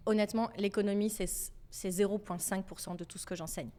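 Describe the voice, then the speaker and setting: Energetic French woman, podcast guest. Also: medium pitch, fairly quick. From France. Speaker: podcast guest, financial educator. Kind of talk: casual podcast conversation.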